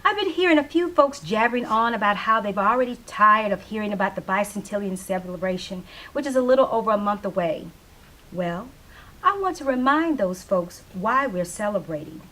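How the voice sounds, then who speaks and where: A woman's voice: mid-range voice, lively intonation, a little fast, bright, forceful tone, rough recording. A woman voicing an opinionated small-town radio DJ of the 1970s, speaking in an emphatic, preachy way in a stage play reading. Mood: preachy, fervent.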